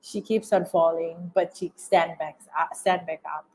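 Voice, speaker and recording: A woman from Bangladesh with a Bangladeshi accent, giving reflective interview answers as a guest, halting and often repeating phrases as she searches for words. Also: fairly low pitch, fairly even intonation, husky, poor audio. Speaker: interview guest, documentary filmmaker.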